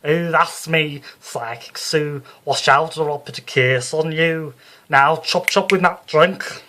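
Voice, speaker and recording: A brash female stage psychic with a Liverpool accent, performed by a man. The voice sits high and forceful, full of bossy, showy patter. It belongs to a storybook character who loves working a village hall. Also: takes it slowly. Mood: cheeky. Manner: loud, bossy, theatrical patter.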